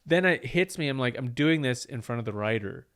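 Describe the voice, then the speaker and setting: A man's voice: mid-range voice, expressive, a little fast, a bit bright, clean tone. A man, a comedian, telling a rambling story in casual chat and acting out the quoted lines.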